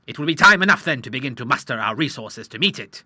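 A man narrating an audiobook, voicing a kindly middle-aged doctor who speaks in a measured, reassuring way. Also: mid-range voice, animated, pushed and bright, no echo, clean studio sound. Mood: calm.

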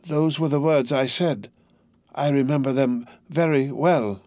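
A broken old prisoner, lost in memory, his voice lifted high and thin above the reader's own, as a man puts him on. He talks in slow, halting pieces, groping for each thought. The reading is close and dry, with no room around it. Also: dark tone, dry recording, lightly acted. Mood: remembering. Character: frail old male prisoner, dazed and grieving. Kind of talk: audiobook character voice.

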